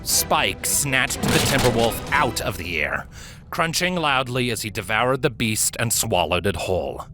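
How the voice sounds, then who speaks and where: A man reading fiction aloud as a dramatic story narrator, with theatrical, deliberate emphasis. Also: medium pitch, big pitch swings. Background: background music.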